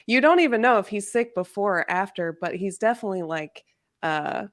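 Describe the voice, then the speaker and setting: A woman in a casual book discussion, speaking in an informal, exclamatory and animated way. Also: mid-range voice, a little fast. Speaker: guest discussing a novel in a readalong livestream.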